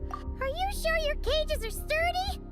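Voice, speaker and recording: A woman voicing a tiny, childlike fairy companion in a video game, squeaky and anxious. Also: expressive, a little slow. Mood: anxious curiosity. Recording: loud music under the voice.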